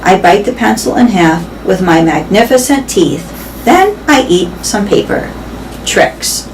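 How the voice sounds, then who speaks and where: A woman's voice: medium pitch, animated, unhurried, far from the mic. Woman reading a story aloud over noise. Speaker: reader of a children's novel. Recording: clear background noise.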